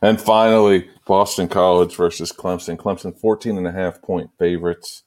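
A man's voice: low voice, steady tone, moderate pace, darkish tone. A man hosting a casual sports talk podcast with a joking, teasing manner. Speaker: podcast host.

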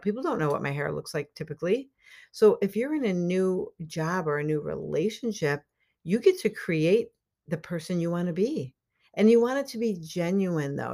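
A woman's voice: sits low, darkish tone. A middle-aged woman hosting a solo podcast, talking casually and encouragingly to her listeners and laughing often. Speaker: podcast host and life coach.

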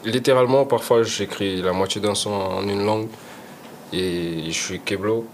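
A man's voice: fairly low pitch, moderate pace, a little hiss or hum. A young man, a rapper guest, chatting casually in slangy talk, often trailing off and restarting his sentences. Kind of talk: casual chat.

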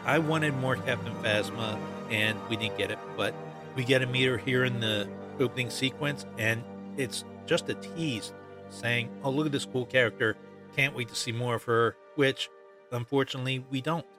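A man hosting a solo fan podcast, talking through his opinions emphatically and repeating points for stress. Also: medium pitch. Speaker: podcast host. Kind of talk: solo fan commentary on films.

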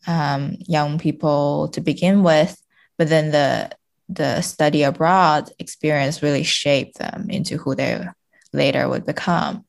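A woman's voice: low-pitched, vocal fry. A woman in her twenties discussing books casually as a podcast guest, talking tentatively and informally as she searches for words. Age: 20s.

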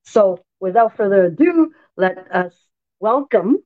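A woman's voice: mid-range voice, animated, a little slow, narrow, tinny audio. Enthusiastic woman podcast host. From Malaysia. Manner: enthusiastic, effusive. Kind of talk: interview, spiritual talk show.

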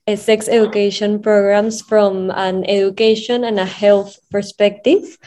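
A woman's voice: medium pitch, warm tone. A woman from Peru with a Peruvian Spanish accent, talking casually as an interview guest, with hesitant phrasing and frequent giggles. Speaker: podcast guest, social enterprise co-founder.

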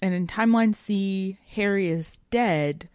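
A woman's voice: medium pitch, a little slow, darkish tone, clear, resonant tone, no room sound, studio quality. A woman co-hosting a podcast, talking through ideas in a casual, analytical, informal way. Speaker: podcast co-host. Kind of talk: casual conversational literary discussion.

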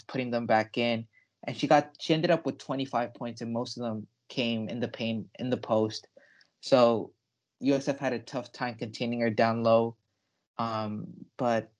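A young man co-hosting a sports podcast, talking casually with emphatic, enthusiastic delivery. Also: mid-range voice.

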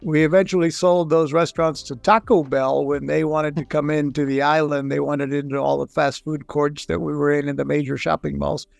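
Gravelly chatty older man. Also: on the high side, little pitch movement, brisk, hardly any pauses, no room sound. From Texas, grew up in Puerto Rico. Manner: chatty storyteller, lots of fillers. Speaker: guest, business founder. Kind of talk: casual interview.

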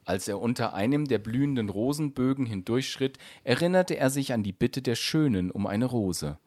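A man reading a fairy tale aloud as an expressive, scripted storybook narration. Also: medium pitch, moderate pace, no echo, clean studio sound.

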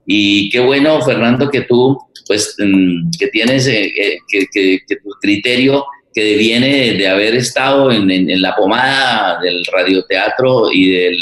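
A man speaking reflectively at a forum as a theatre moderator, halting and repeating words as he searches for phrases. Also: on the low side, a bit bright. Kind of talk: reflective spoken forum talk. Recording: roomy, echoing sound.

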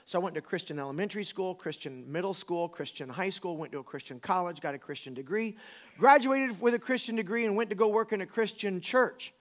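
High-voiced man in his forties, preaching casually. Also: fairly quick. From the American South. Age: 40s. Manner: informal, emphatic, repeats phrases for effect. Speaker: pastor. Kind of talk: conversational sermon.

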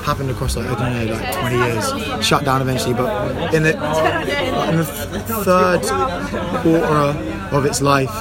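A man's voice: mid-range voice, steady tone, normal speed. A man, a band member chatting loosely in an interview outdoors, full of fillers and swearing. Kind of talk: casual interview chat. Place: outdoors in an urban smoking area. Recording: loud music and crowd behind.

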